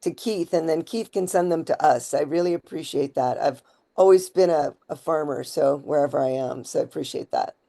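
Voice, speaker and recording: A woman's voice: deep voice, normal speed, vocal fry, dark tone. A woman participant making brief, appreciative remarks in a question session. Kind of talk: casual remarks in a Q&A.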